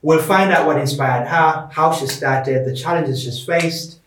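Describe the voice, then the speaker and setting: A man's voice: on the high side, a little slow, a bit bright, a little hiss or hum. A man hosting a podcast in an informal, colloquial, conversational way. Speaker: podcast host. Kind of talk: conversational introduction and interviewing. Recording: roomy echo, distant from microphone.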